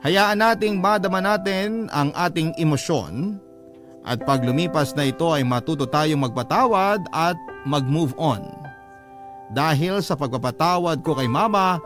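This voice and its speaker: A man with a Filipino accent reading a listener's letter as an earnest, measured radio storyteller. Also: on the high side. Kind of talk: dramatic scripted narration. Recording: prominent music bed under the voice. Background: music.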